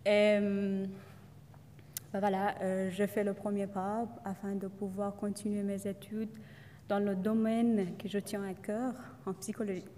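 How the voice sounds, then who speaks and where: Young woman, second-language speaker, halting testimonial talk, medium pitch, reverberant hall. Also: flat delivery, dark tone, slight background noise. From Iran. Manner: hesitant, many fillers and restarts. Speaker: student speaker.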